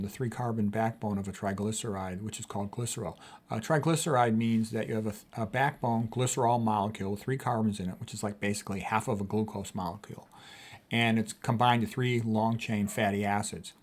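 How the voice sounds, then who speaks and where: A man's voice: medium pitch, darkish tone. A man presenting a health lecture in a conversational, didactic way, spelling out and defining technical terms as he goes. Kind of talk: informal explanatory medical lecture, reading quotes and commenting.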